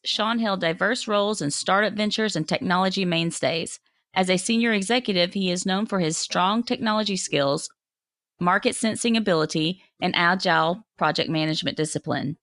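Slightly hoarse woman podcast co-host. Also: medium pitch, fairly bright tone, no room sound, studio quality. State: slightly hoarse, coming down with a cold. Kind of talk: podcast interview, reading a guest introduction.

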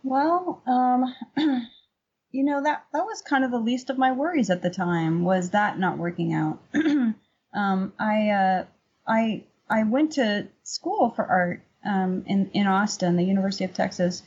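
A middle-aged woman with a husky voice, talking candidly and informally as an interview guest, rambling a little. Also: medium pitch, a little slow, a little mellow, highs cut off. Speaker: painter, podcast guest. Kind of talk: casual interview chat.

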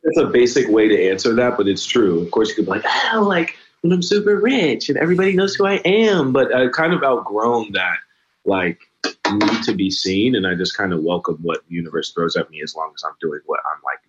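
A man in his twenties, a singer-songwriter guest, talking slowly and casually in an interview, with many ums and likes. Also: lowish voice, dull audio. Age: 20s.

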